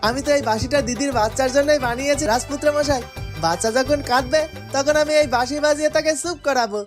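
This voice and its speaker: A woman acts as an eager young village lad in a cartoon. The voice is pitched high and sounds good-natured, with music playing clearly behind it. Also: fairly quick, natural voice. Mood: proud, eager. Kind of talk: cartoon character acting.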